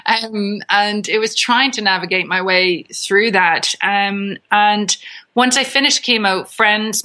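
A woman's voice: lowish voice, pushed and bright. A woman speaking casually as an interview guest and author, telling her own personal story.

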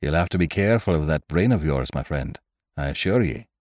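A man's voice: medium pitch, wildly swooping pitch, a little slow, no room sound, voice pushed up. Guy doing big blunt irish doctor from a storybook, scoffing, voice swings up and down, dry close sound. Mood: grim, dry. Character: burly, hearty, mocking Irish doctor, bluff and commanding.

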